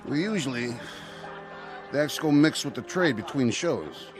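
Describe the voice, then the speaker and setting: An actor playing a cynical, grumbling nightclub boss in a radio play. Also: male voice, medium pitch, expressive, unhurried, faint music behind, lightly acted.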